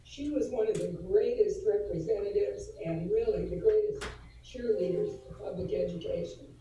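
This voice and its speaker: A woman speaks on a video call, her voice very dark and mellow and a bit hoarse from allergies. She talks in an earnest, halting way, with many fillers and restarts, as she pays tribute to a colleague. She sits back from the mic in a strongly echoing room with faint background ambience. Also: mid-range voice, recorded from a distance, a little hiss or hum. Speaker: adult education administrator presenting to school board. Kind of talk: formal tribute presentation at a virtual board meeting.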